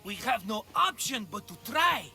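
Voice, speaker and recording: An actor playing a jovial, evasive older Greek schemer in a video game, ingratiating and theatrical. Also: male voice, sits high, big pitch swings, normal speed, bright, forceful tone, faint music behind, heavily acted. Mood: sheepish. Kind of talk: cinematic video game acting.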